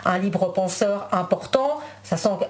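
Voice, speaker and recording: A woman's voice: medium pitch, measured pace, slight background noise. A woman whose first language is Spanish, a guest in a casual interview chat, talking with many fillers and restarts.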